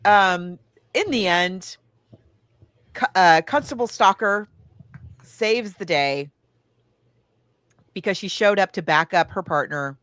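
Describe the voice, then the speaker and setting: Woman podcaster gushing casually in a review chat, voice a bit low, real dry sound. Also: lively intonation, slow, long pauses, fairly bright tone, dry recording. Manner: enthusiastic, effusive. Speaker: podcast co-host reviewing books and films.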